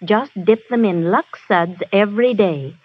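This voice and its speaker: An actress playing a kindly, advising aunt in a 1930s radio advertisement, with a breathy voice. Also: female voice, medium pitch, unhurried, natural voice. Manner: gentle and advising. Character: kindly aunt giving advice. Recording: dull old radio broadcast.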